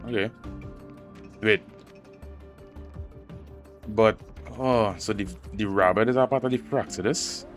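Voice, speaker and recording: Male game streamer, lower register, casual reactions, thinking out loud in slang, faint music behind. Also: slow, long pauses. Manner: musing aloud, slangy. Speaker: gaming streamer.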